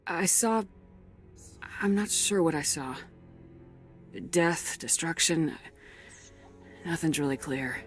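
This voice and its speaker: An actress playing a dutiful, steady military commander in a video game, restrained and matter-of-fact. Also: female voice, deep voice, slow pace, pauses often, faint music behind. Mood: troubled. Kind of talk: video game cutscene acting.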